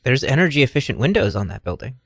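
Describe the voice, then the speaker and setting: A man's voice: medium pitch, very animated, fast talker, dry recording. Man improvising a stern homeowners association official, dry sound. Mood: disapproving. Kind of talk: improvised comedy sketch.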